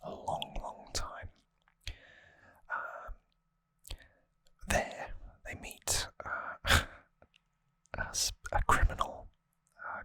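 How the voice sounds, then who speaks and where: Close, hushed ASMR whisper, bright and breathy. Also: no room sound. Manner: hushed, relaxed, rambling casual explanation. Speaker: ASMR video host. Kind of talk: whispered ASMR trivia recap. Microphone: close microphone.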